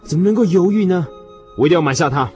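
A man's voice: sits high, animated, quick pace, soft background music. Man acting a fervent husband, widely swooping voice. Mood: reflective. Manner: theatrical, swinging from hushed reflection to fervent declaration. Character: earnest, obsessed husband yearning for a precious pearl. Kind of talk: radio drama acting.

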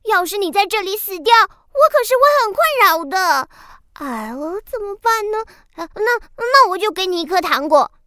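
Bossy candy fairy, very high and wildly animated, huffy scolding delivery for children's radio drama. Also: female voice, moderate pace, no echo, studio quality, exaggerated voice. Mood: flustered. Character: bossy, grumpy little candy-tree fairy.

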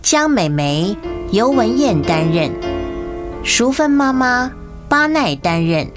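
Slow, animated female announcer over music. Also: a little high. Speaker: radio drama announcer. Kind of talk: scripted credit announcement.

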